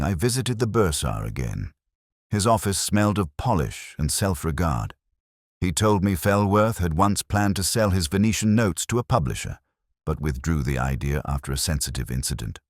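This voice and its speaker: Male narrator, very low register with expressive movement, reflective first-person crime fiction, close dry studio sound. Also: no echo. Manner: measured, literary, reflective. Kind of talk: scripted first-person detective story narration.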